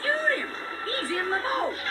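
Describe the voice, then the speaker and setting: A man voicing a blustering villainous lawman barking frantic commands in a storybook read-along, with loud music. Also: extremely high pitch, big pitch swings, a little slow, very noisy, pitched above the performer's own voice, full character voice. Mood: frantic, commanding. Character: blustering, bellowing lawman villain hunting an outlaw. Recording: distant sound with loud music.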